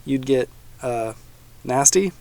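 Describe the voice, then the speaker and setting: A man's voice: medium pitch, lively intonation, takes it slowly, vocal fry, mellow. A man voicing a nervous, suspicious young boy who asks wary questions.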